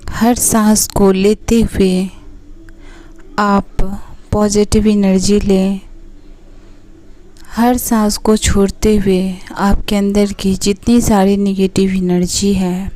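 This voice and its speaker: Slow, mellow woman guiding meditation. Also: medium pitch, flat delivery, poor audio. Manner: soothing, unhurried instruction with pauses between short phrases. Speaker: meditation guide. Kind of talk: guided meditation narration.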